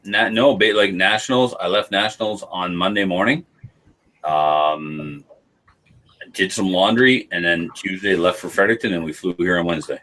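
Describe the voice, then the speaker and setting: A man's voice: low-pitched, moderate pace, pushed and bright, rough recording. A man from Canada co-hosting a casual podcast, bantering and joking in a small room. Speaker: podcast co-host. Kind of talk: casual chat. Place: small bedroom, indoors.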